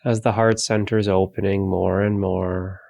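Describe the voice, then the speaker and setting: A man leading a guided meditation, giving calm, soothing, gentle instructions with long pauses between phrases. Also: deep voice, vocal fry, dry recording.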